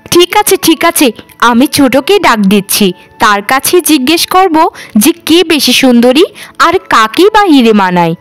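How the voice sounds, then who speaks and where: Casting: woman playing sharp-tongued, envious young sister in a cartoon, high and very lively, music faintly behind. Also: normal speed, no room sound. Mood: impatient. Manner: nagging, sarcastic. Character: jealous, sharp-tongued middle landlord's daughter. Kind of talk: cartoon voice acting.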